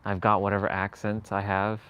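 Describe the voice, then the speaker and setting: Man, from Canada, low voice, casual live-stream chat, rambling, searching for words. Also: completely flat delivery, brisk, fairly bright tone, clear, resonant tone. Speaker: livestream host.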